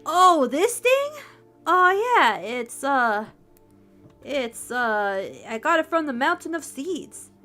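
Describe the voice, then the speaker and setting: A woman's voice: sits high, big pitch swings, slow pace, pushed and bright, faint music behind, heavily acted. A woman voicing a small, stubborn male ant in a folktale, with an offhand, slangy way of talking.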